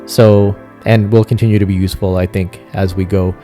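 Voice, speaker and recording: A man hosting a gaming video in casual, easygoing chat, with a hesitant, halting delivery. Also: on the low side, level tone, mellow. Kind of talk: casual roleplay chat while driving in a game. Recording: music behind the voice. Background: music.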